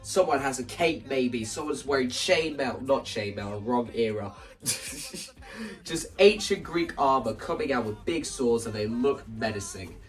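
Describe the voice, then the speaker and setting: A man gives a casual, animated walkthrough of staging ideas. He sounds some distance from the microphone, and music plays underneath as he talks. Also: medium pitch, a little slow. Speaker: video creator explaining stage play ideas. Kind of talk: casual spoken walkthrough to camera.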